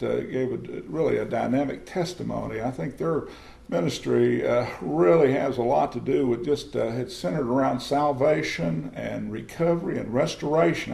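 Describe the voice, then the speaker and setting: A middle-aged man, hosting a church testimony program and introducing guests in a halting way with many fillers. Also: mid-range voice, creaky, warm tone. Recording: echoey church room.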